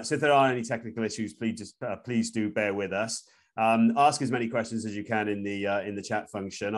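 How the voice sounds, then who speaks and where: A man in his forties hosting a live webinar from home over a video call, with plenty of fillers and restarts. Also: on the low side. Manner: hesitant, many fillers and restarts. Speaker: webinar host. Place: room at home. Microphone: laptop webcam on a video call.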